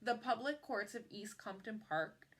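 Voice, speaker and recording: A woman reading a picture book aloud in an expressive storytelling style, phrasing in a measured way and leaning on key words. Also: mid-range voice.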